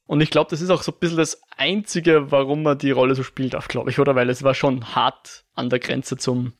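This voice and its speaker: A man's voice: medium pitch, level tone, a little fast. Hesitant man chatting about films. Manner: hesitant, restarts and self-corrections. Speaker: film podcast co-host. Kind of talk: casual film discussion.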